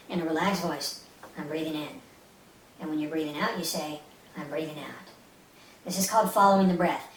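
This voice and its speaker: A woman leading a guided meditation as an instructor, speaking in a soothing, instructive way with short repeated phrases. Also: fairly low pitch. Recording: roomy, distant mic with faint hiss.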